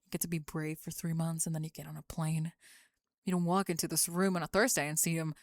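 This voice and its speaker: Woman voicing a bookish young female student, very low pitch, guarded, dry wit, halting, close dry ASMR roleplay sound. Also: little pitch movement, no echo. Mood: defensive. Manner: halting, dry, self-aware.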